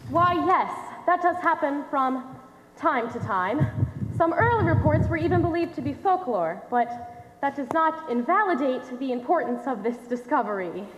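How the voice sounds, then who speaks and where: A young woman playing an earnest scientist in a stage play, giving a formal presentation with projected, deliberate delivery. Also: sits high, expressive, muffled recording. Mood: measured, explanatory. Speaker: stage actor in a high school one-act play. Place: on stage in a large dark auditorium. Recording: echoey theater hall, long reverb.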